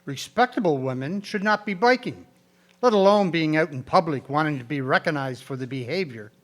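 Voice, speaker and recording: A man acting a high-pitched, scornful traditionalist. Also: expressive, fairly quick, lightly acted. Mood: disapproving. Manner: heckling, pompous. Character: scornful, blustering old-fashioned 1890s horse owner. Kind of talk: radio drama acting.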